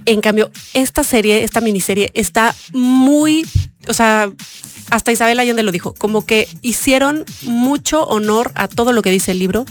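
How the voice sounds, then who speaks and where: A woman co-hosting a radio show, chatting casually and warmly recommending series, often repeating words as she searches for them. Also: fairly high pitch, normal speed, soft background music. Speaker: radio co-host.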